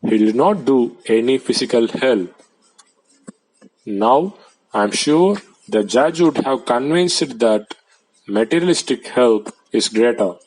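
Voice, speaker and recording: A man, reading out translated debate speeches in a plain, measured way. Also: mid-range voice, lively intonation, a little mellow, rough recording.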